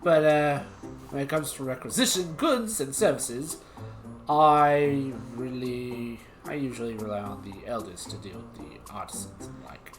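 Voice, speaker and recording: A streamer voicing a rough male monster hunter in an Australian accent, with a folksy, slangy drawl. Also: mid-range voice, unhurried, faint music behind, close to an everyday voice. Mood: matter-of-fact. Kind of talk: reading game dialogue aloud on stream. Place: home streaming setup.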